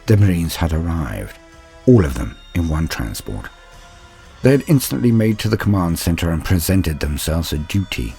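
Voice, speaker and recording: A man with a deep voice reads a scripted dramatic story. His delivery is grave, measured and theatrical. Soft music plays faintly behind him. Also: sing-song. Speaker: narrator.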